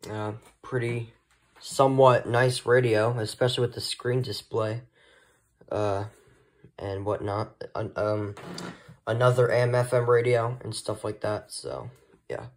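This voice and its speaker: A man giving a casual, unscripted product showcase as a hobbyist, talking in a hesitant, rambling way. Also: on the low side. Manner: hesitant, halting, rambling delivery. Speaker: hobbyist vlogger showing a weather radio collection.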